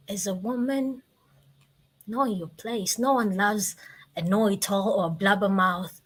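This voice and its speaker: A woman in her twenties with a Kenyan accent, telling her story like an inspirational speaker, with emphatic repetition and deliberate pauses. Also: medium pitch, fairly even intonation, low-quality recording. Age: 20s. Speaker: guest, motivational speaker.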